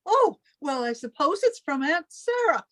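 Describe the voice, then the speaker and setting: A woman's voice: high voice, big pitch swings, a little slow, a bit bright, no room sound, full character voice. A woman playing a possessive, sanctimonious older sister in old-time radio melodrama, theatrical and reproachful. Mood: stern, prying.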